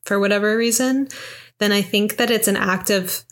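Soft-spoken reflective young woman. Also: medium pitch, moderate pace. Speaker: podcast co-host. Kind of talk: casual conversation about astrology.

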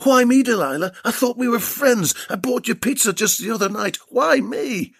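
A man's voice: extremely high pitch, animated, moderate pace, gravelly, a bit bright, husky, dry recording, studio quality, voice pushed up, full character voice. A man voicing a frightened captive man who pleads with a friend who has betrayed him, in a read-aloud story. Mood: pleading. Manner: pleading, anguished.

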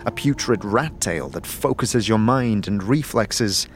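A man's voice: on the low side, expressive, measured pace. Male fantasy narrator over music. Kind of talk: scripted second-person fantasy audio drama narration.

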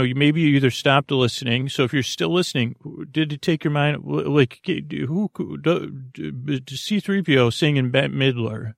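A man hosting a bedtime podcast in a meandering, hesitant monologue full of stammered false starts and tangents, with a gravelly voice. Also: medium pitch, dry recording, clean studio sound. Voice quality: gravelly, creaky. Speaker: podcast host.

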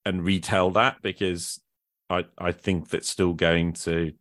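Man, very low pitch, dry recording, podcast host, casual reflective chat, hesitant and self-qualifying. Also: little pitch movement, slow, fairly bright tone, no room sound.

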